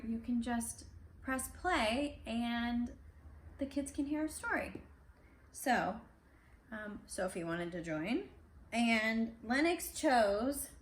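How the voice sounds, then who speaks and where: A woman with a fairly high voice reads a children's book aloud. She slips between the text and chatty asides to a child. The room is echoey, with faint noise behind her. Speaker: parent reading storybook aloud. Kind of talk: casual read-aloud story time for kids. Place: indoors at home. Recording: roomy echo, clear background noise.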